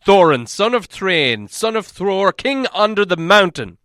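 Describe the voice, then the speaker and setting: Man playing a grand, booming dwarf king. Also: sing-song, measured pace, no echo, studio quality, exaggerated voice. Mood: proud, solemn. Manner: solemn, grandly declaiming, loud. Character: proud, commanding dwarf king in exile. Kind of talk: audiobook character acting.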